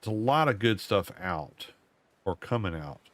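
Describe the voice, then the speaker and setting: A man, streaming a game and muttering to himself in a drawling, self-mocking way, his voice going hoarse. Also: fairly low pitch, sing-song, unhurried, fairly bright tone. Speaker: gaming streamer. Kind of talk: casual gameplay commentary.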